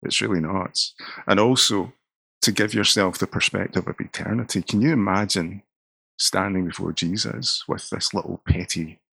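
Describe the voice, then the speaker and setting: A middle-aged Scottish man with a very low, gravelly voice that has a husky edge. He is a pastor talking earnestly in a conversation and often doubles his words as he starts a sentence. The sound is close and dry. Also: moderate pace, sharp tone, no echo. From Scotland. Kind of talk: conversational interview.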